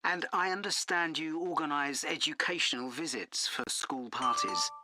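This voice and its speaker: A woman's voice: deep voice, normal speed, background noise, lightly acted. A woman playing a polite teaching assistant who asks questions in a scripted dialogue. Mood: polite, curious. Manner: polite, inquiring. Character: polite, inquiring female teaching assistant on a call. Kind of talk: scripted listening-test dialogue.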